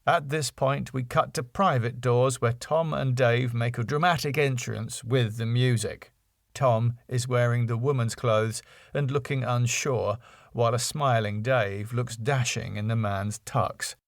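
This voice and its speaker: A man's voice: medium pitch, steady tone, a little slow, no room sound, studio quality. A man narrating a comedy script, reading out scene headings and stage directions. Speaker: writer and narrator.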